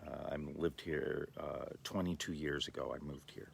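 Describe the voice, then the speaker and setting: A man in his sixties from the Los Angeles area, giving a slow, thoughtful personal talk with many pauses and fillers. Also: a bass voice, fairly even intonation, gravelly, a little hiss or hum. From Los Angeles area, USA. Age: 60s. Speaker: livestream host.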